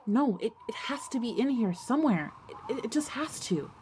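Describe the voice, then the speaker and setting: A woman's voice: on the high side, animated, a little fast, darkish tone, slight background noise, lightly acted. A woman playing an earnest teenage schoolgirl who narrates her own ghost story in an audio drama. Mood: urgent, flustered. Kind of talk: scripted first-person narration and audio drama dialogue.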